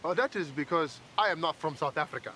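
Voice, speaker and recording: A courteous young fiance with a Nigerian accent in a film. He speaks formally and avoids contractions, and his voice moves up and down a lot. It sits slightly high for a man. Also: animated, fast, sharp tone, slight background noise. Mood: polite.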